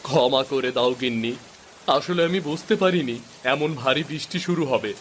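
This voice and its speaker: A man's voice: mid-range voice, moderate pace, natural voice. A man playing a meek, worried village husband and father in a cartoon, with rain behind him. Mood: sheepish, sorry. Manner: apologetic, anxious. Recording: steady rain noise behind voice.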